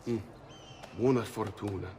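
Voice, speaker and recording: A man's voice: fairly low pitch, moderate pace, warm tone, slight background noise, natural voice. A man voicing a sly, self-assured master thief with an Italian accent in a video game, courteous, theatrical and mysterious. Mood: conspiratorial. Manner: theatrical, knowing. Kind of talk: video game cutscene acting.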